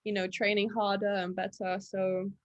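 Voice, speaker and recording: A young woman who grew up in England after early childhood in Latvia, a Russian speaker, chatting casually as a podcast guest and pausing often to find her words. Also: medium pitch, dry recording, lo-fi sound. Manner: relaxed, thinks aloud with many fillers. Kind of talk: casual interview chat.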